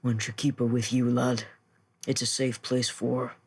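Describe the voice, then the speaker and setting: A player voicing a gentle, fatherly male sailor in a tabletop game, soft, terse and kindly. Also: medium pitch, level tone, normal speed, breathy edge.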